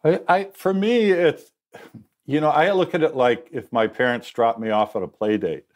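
A man's voice: mid-range voice, normal speed. A man in his sixties, a TV director and guest, telling stories in a rambling, candid, self-correcting way. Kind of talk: candid conversational storytelling.

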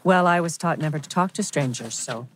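A woman's voice: sits low, a little fast, background noise, natural voice. A woman playing a hurt, bitter, coolly sarcastic wife in a TV drama table read.

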